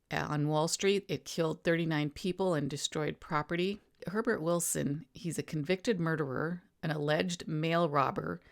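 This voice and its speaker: A woman narrator reading old newspaper headlines in a matter-of-fact way, adding informal summaries as she goes. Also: low voice.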